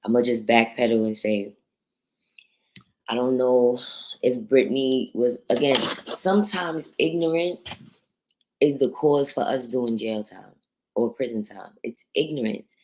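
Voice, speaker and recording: A woman from New York with a deep voice, hosting a casual livestream, reading viewer comments and talking bluntly with plenty of profanity. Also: steady tone, measured pace, long pauses, a little mellow, poor audio. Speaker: livestream host.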